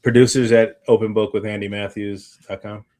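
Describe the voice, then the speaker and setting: A man chatting casually as a podcast co-host, halting and thinking aloud as he rambles along. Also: fairly low pitch, level tone, darkish tone. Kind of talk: casual unscripted chat.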